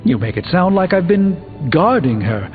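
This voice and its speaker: Game sorcerer: slightly higher-pitched, erudite, composed, dryly witty, formal diction. Tinny narrow-band sound, prominent music bed. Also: male voice, sing-song, a little slow. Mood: measured, grave. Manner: articulate, formal, dryly wry. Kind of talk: video game character dialogue. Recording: thin band-limited audio under loud music.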